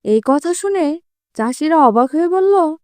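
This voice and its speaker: A woman's voice: high voice, lively intonation, unhurried, darkish tone, no echo. A woman narrating a scripted children's fable as a storyteller.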